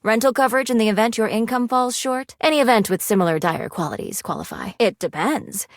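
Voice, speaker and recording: A woman's voice: a little high, fairly quick, dry recording, clean studio sound, lightly acted. A woman playing a video game character, prim and aloof money personified as a stern financial advisor, speaking in a formal, clipped, precise way. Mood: brisk, formal.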